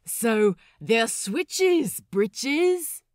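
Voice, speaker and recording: Casting: goofy, eager pirate crewman performed by a woman, naive and earnest, lifted pitch, comic storybook style. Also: big pitch swings, drawn-out words, no room sound, clean studio sound, exaggerated voice. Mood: eager, puzzled.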